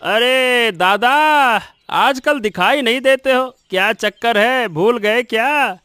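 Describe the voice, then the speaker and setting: A man's voice: very high voice, takes it slowly, sharp tone, clear, resonant tone, no echo, heavily acted. A man voicing a chummy, envious villager friend in a Hindi cartoon moral story, teasing and familiar as he wheedles.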